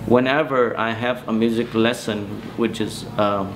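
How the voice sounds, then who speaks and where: A man in his forties from Vietnam with a Vietnamese accent, recounting memories in a halting, hesitant way as he searches for words in an interview. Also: medium pitch, fairly even intonation, background noise. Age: 40s. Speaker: interviewee, musician. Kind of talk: oral history interview, personal recollection. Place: small bedroom, indoors.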